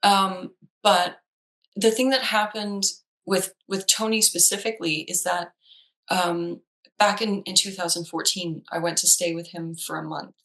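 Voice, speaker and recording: A woman in her thirties whose first language is Danish talks casually with a bright, forceful edge to her voice. Her pitch sits a little low, and she restarts sentences often, with plenty of fillers. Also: steady tone, a little slow, rough recording. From Denmark. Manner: chatty, with many fillers and restarts. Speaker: psychologist. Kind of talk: casual chat.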